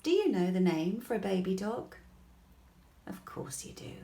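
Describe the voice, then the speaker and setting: A woman reading a children's bedtime story aloud in an expressive, gentle way, with deliberate pauses. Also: medium pitch. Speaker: storybook reader. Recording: echoey room.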